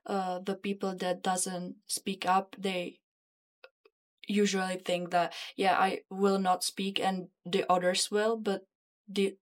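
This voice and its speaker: A woman's voice: mid-range voice, little pitch movement. A young woman, a student, hesitantly giving her opinion in an informal discussion. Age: young adult. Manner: hesitant, halting. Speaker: student panelist.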